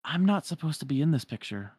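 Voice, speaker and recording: A performer voicing a gentle, higher-pitched lonely girl. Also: big pitch swings, fast talker, husky, no echo, clean studio sound. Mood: sad, apologetic.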